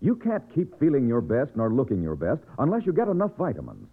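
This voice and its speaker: A man's voice: medium pitch, huge pitch swings, a little fast. An American man announcing on vintage radio with an emphatic, polished sales delivery. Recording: tinny, rough vintage radio broadcast.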